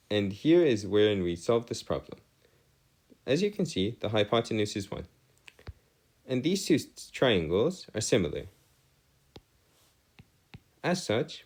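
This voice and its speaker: A man giving an explanatory maths lesson as a tutor, with formal, wordy phrasing and halting, hesitant delivery. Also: fairly low pitch, a little mellow. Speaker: math tutor. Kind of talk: explanatory maths lesson over written working.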